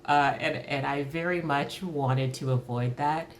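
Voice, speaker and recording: A woman in her early fifties reflecting candidly on her own life in a thoughtful, halting way, as in a personal interview. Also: deep voice, fairly even intonation, a little hiss or hum. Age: early 50s. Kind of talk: reflective personal talk to camera.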